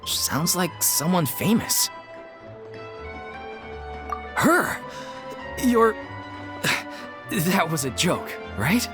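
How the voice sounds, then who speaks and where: A man's voice: high voice, sing-song, very slow, pauses often, heavily acted. An actor playing an earnest, downcast man who leads an amateur theater troupe, speaking sincerely and politely. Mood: wistful. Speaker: troupe organizer. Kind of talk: game voice acting. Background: game soundtrack music.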